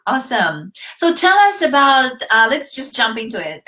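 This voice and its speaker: A woman's voice: high voice, very animated, moderate pace, bright, forceful tone. A woman hosting a casual live-stream interview, enthusiastic and rambling through her questions with many fillers. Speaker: host.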